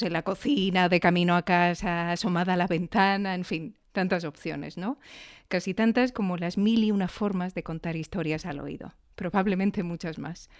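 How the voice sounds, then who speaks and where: A woman's voice: lowish voice, smooth. A woman with an accent from Spain, presenting and interviewing on a podcast in a conversational, lightly scripted style.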